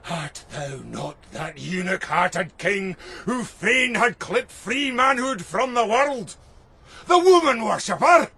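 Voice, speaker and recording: An actor playing a furious, brutal outlaw knight in an audio drama, bellowing and sneering archaic verse in a harsh voice. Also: male voice, very high-pitched, vocal fry, bright, forceful tone, husky, full character voice.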